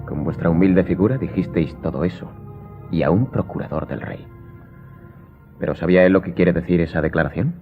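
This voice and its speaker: A cool, ironic nobleman probes his servant in a radio drama. His voice is very deep and his delivery formal and measured. The audio is dull and muffled, with music playing softly behind. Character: aristocratic master coolly questioning his servant, ironic.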